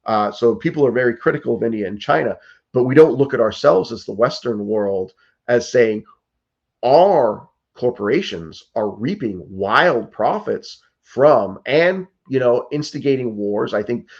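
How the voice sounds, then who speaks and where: A man's voice: mid-range voice, lively intonation, unhurried, vocal fry, a little mellow, muffled recording. Earnest, urgent man in his fifties. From United States. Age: 50s. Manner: earnest and urgent, many restarts and "like", "you know". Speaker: political candidate. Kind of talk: interview answers.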